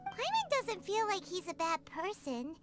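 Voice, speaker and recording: Casting: tiny chirpy game fairy, piercingly high, very animated and childlike. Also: female voice, a little slow, over music, heavily acted. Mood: curious. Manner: squeaky, childlike, refers to self by name. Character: small childlike floating fairy companion, chirpy and curious. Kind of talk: cartoonish video game voice acting.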